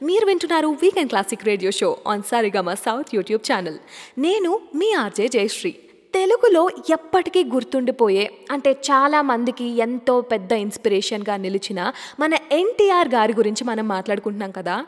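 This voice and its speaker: A woman's voice: fairly high pitch, very animated, a little fast, long reverb tail. A woman hosting a radio show, chatting casually and putting questions to her listeners. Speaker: radio host.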